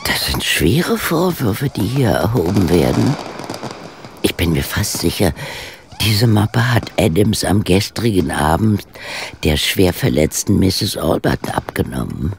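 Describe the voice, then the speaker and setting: A dryly witty, deliberate gentleman sleuth in a detective radio play. He talks formally and articulately with a creaky edge and animated intonation. Faint noise runs behind him. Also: sits low, heavily acted. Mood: composed, deductive. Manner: measured, articulate, slightly formal.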